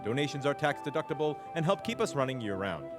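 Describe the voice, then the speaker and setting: A man's voice: medium pitch, sing-song, fast. A man making a scripted intermission announcement as the show's announcer on a live stage. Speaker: show announcer. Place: live stage in a recreation center. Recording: live stage, music behind.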